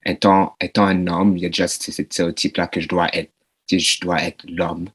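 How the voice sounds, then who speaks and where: Very deep, flat-voiced hesitant man. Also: fairly quick, breathy edge. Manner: hesitant, searching for words. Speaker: podcast guest. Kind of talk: panel discussion.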